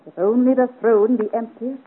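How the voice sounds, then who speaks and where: A woman's voice: a little high, steady tone, moderate pace, slight background noise, natural voice. An actress playing a proud, ambitious marchioness in her thirties with an imperious, grand manner in a 1950s radio serial. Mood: commanding. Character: haughty ambitious 37-year-old marchioness, royal favourite of France. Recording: muffled phone-like old radio recording.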